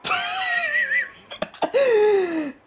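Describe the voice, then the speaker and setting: A man giving casual, excitable game playthrough commentary, reacting and repeating himself as he goes. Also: extremely high pitch, very slow, raised voice, a little hiss or hum. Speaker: gaming YouTuber. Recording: game music behind voice.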